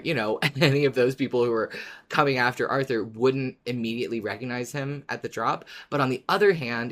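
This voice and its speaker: A podcast host talking casually and reflectively, weighing both sides in a measured way. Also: medium pitch, a bit bright.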